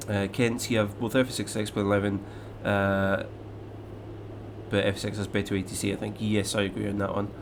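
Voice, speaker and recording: A man's voice: on the low side, moderate pace, vocal fry, long pauses, background noise. Scottish man chatting on a live stream. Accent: Scottish, mixed with English. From Scotland. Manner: rambling, with many fillers and restarts. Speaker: flight sim live streamer. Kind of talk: casual live-stream chat, reading viewer comments aloud.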